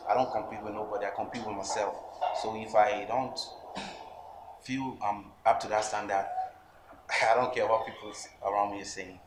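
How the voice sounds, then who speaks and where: A man's voice: fairly low pitch, fairly even intonation, normal speed. A young man in his twenties with a Ghanaian English accent, a rapper answering interview questions earnestly, often restarting his phrases. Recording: echoey room with background noise.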